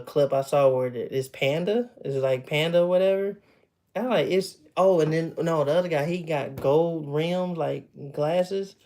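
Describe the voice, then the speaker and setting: Chatty man with a fairly high voice. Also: dark tone. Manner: loose and chatty, laughs often, trails off and restarts. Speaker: streamer chatting with viewers. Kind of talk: casual livestream chat. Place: at home with memorabilia behind him.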